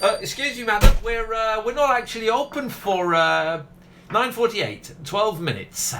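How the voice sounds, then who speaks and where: A man's voice: high-pitched, expressive, moderate pace, pushed and bright, a little hiss or hum, heavily acted. A man playing a proud, rambling middle-aged ice cream parlour owner with a South Yorkshire accent in a comedy radio play. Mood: chatty, proud. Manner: garrulous, rambling, cheerfully talks over others. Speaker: actor.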